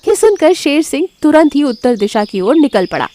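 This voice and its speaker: A woman's voice: sits high, big pitch swings, brisk, mellow, slight background noise. A woman giving scripted narration of a children's moral story.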